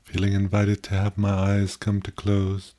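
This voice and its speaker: A man leading a guided meditation in a gentle, contemplative way, with pauses and softly posed questions. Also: on the low side, monotone.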